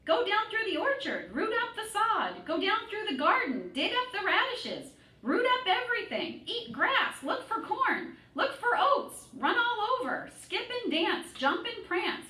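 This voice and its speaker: A shrill, bossy goose, as a woman puts her on for a storybook reading, voice shooting high and swooping wildly, saying everything twice. The sound is distant in an echoey room. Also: sing-song, unhurried, hardly any pauses, pushed and bright, full character voice. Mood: urgent. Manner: shrill, repeats phrases. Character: bossy excitable female goose.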